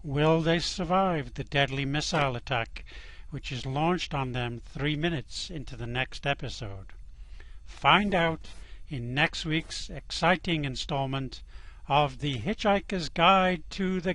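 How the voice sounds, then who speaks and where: Male narrator for a radio serial, slightly high voice, slow scripted delivery. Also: fairly bright tone.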